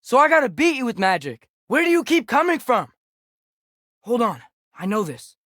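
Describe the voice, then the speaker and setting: A man's voice: very high voice, sing-song, moderate pace, sharp tone, dry recording, studio quality, exaggerated voice. A young male actor playing an earnest, restless teenage boy adventurer with an American accent in a video game. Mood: curious, thoughtful. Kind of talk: video game character dialogue.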